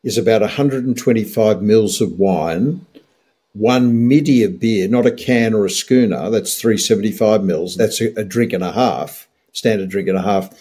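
An Australian man in his sixties speaks as a doctor on a health podcast. His voice is fairly low and a little husky. He talks emphatically, with firm opinions and a wry sense of humour. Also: moderate pace, dark tone.

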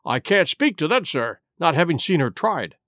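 A grim, plain-spoken ship's captain, as a man reads him, slow and clipped, giving nothing away. The voice sits high for a man with a dry, close sound. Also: very animated, fairly bright tone, no echo. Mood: stern. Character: stern blunt male sea captain, sharp and grim.

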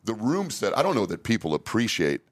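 A middle-aged man with a fairly low voice hosts a relaxed podcast chat. He talks candidly and rambles, often restarting his sentences as he works through his thoughts. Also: fairly quick. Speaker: safety trainer and podcast host. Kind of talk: casual podcast conversation.

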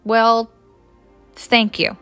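Lady doing a playful big kid of a guy from a story, newfoundland accent, casual, bright voice. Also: fairly high pitch, monotone, very slow, clear, resonant tone, no echo, faint music behind. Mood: easygoing. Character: playful, stubborn young man, big kid at heart.

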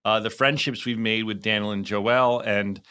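A man chats casually as a podcast co-host over a remote call. His voice is bright and clear. He stumbles and restarts often and keeps thanking the listeners. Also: lowish voice, fairly even intonation, normal speed. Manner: rambling, many restarts, warm thanks to listeners. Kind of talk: casual chat.

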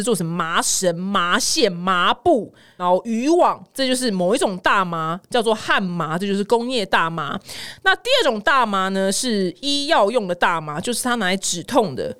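A woman podcast host with a Taiwanese Mandarin accent and a very lively, swooping delivery. She chats casually and comically, leaning into dramatic exaggeration. The sound is dry and close, with no room to it. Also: mid-range voice, clean tone, dry recording. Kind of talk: casual comedic news chat.

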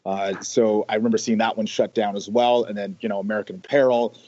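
A man's voice: lowish voice, fairly even intonation, quick pace, darkish tone, muffled recording. A man from Canada, a guest in a casual interview, talking with many fillers and restarts over remote call audio. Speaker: guest, sales rep.

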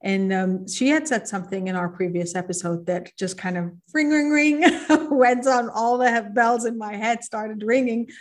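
A woman's voice: on the high side, moderate pace, few pauses. A woman from Egypt who grew up in Kuwait, hosting a podcast interview and sharing her own story in a reflective, personal way. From Egypt, raised in Kuwait. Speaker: podcast host. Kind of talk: conversational interview.

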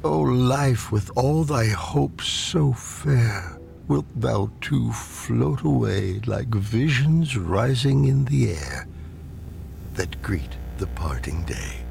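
A man giving a solemn, measured dramatic reading of poetry, often dropping into hushed, breathy phrase endings, as a poetry reader. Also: fairly low pitch. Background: ambient sound design.

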